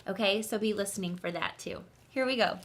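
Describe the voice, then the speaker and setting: Bright, expressive woman teacher reading to children. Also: medium pitch, a little fast. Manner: expressive, clearly enunciated. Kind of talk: children's story read-aloud, instructive.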